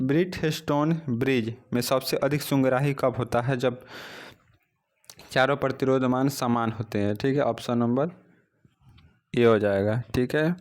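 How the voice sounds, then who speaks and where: A man at a medium pitch works through exam questions one after another. He reads each question, then gives the answer in a steady drilling rhythm. The sound comes from a phone recording made indoors. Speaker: exam coaching teacher. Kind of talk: exam practice lesson.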